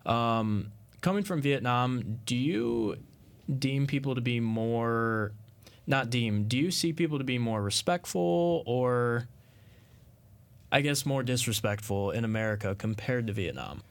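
A man's voice: mid-range voice, unhurried. Young male student podcast host. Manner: loose, conversational. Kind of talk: casual interview chat.

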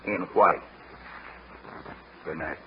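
An actor playing an earnest, exasperated young gentleman suitor in a vintage radio drama. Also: extremely low pitch, drawn-out words, full character voice. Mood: firm. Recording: muffled, noisy old radio broadcast.

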